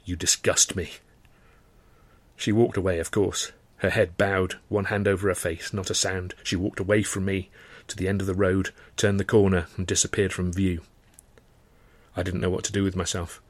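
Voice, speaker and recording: A man reading a first-person novel as dramatic audiobook narration, expressive and often in short clipped phrases. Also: low voice, normal speed, no room sound. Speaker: author reading his own novel.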